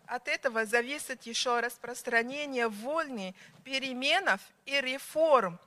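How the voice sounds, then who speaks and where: A woman giving a formal prepared speech at a conference, slow and emphatic, pausing between the items of each list. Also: a little high, bright, forceful tone. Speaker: conference speaker.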